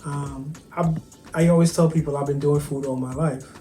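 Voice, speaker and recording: Barbadian man, flat-toned guest chef on a video call, roomy room with clear music behind. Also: a little high, moderate pace. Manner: soft-spoken, hesitant, many ums and restarts. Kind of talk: virtual interview, casual storytelling.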